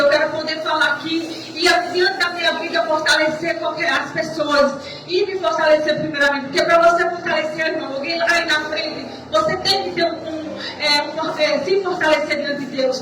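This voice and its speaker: High-pitched Brazilian woman preaching, heavy echo. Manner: earnest, repetitive, stammering delivery. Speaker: church deaconess preaching. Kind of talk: testimony sermon, exhortation. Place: church service indoors, distant microphone. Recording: echoey room, far mic, noisy.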